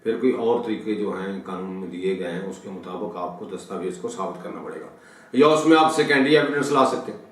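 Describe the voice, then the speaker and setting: A man's voice: low-pitched, fairly quick. Pakistani guy doing a law lecture, super echoey room, mic kinda far away, explains stuff then says it again. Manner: didactic, repeats and rephrases points. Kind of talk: explanatory law lecture, Urdu with English legal terms.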